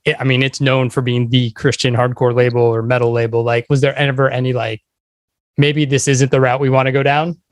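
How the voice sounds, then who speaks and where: A man's voice: mid-range voice, flat delivery, a little fast, dry recording, studio quality. A man hosting a podcast, interviewing in a casual, loosely phrased, conversational way.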